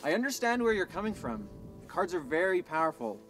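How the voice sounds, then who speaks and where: A man's voice: high voice, very animated, fairly quick, a bit bright, soft background music. A man in his twenties playing a cautious, earnest young survivor in a post-apocalyptic short film. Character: young male survivor guarding the cards. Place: outdoors in dry scrubland.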